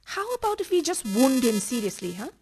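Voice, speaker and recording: An actress playing a scheming, theatrical mistress in a farcical radio play, coaxing and easily thrilled. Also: female voice, sits high, sing-song, fairly quick, noisy background.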